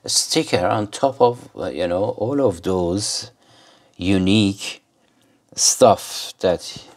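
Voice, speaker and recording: Low, slow-talking man demonstrating a gadget. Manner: rambling, hesitant. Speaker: gadget reviewer. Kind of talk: casual unscripted product demonstration.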